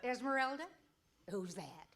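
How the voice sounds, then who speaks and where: Woman acting a high-voiced, bossy old frontier mother. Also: lively intonation, measured pace, a bit bright. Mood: exasperated, nagging. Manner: folksy, scolding frontier talk. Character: bossy, teasing Western cowboy hero's mother, older woman. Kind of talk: old-time radio comedy acting before an audience.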